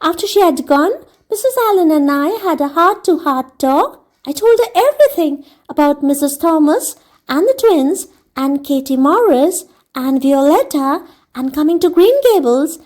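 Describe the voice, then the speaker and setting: A woman's voice: high-pitched, animated, moderate pace, darkish tone, voice pushed up. A woman voicing an eager, dreamy, talkative young girl, gushing earnestly as a storybook character. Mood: eager, chatty. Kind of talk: audiobook character reading.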